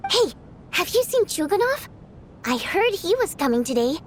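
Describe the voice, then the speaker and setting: Brief: a sickly but excitable young woman, very high voice, faint hiss. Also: moderate pace. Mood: eager. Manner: bright, excitable, chatty. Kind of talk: game voice acting.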